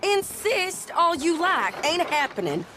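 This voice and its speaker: A woman's voice: extremely high pitch, very animated, measured pace, background noise, exaggerated voice. An actress playing a tough, brash, bossy frontier outlaw woman with a rural American accent, speaking bluntly in a dramatic game scene. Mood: firm, impatient. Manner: blunt, insistent. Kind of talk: dramatic game cutscene acting. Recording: loud music under the voice.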